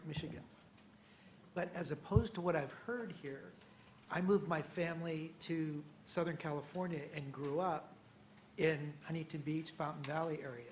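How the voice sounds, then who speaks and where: Conversational man, slightly high voice. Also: slight background noise, poor audio. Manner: conversational, musing aloud. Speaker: meeting participant. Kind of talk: introducing himself at a meeting.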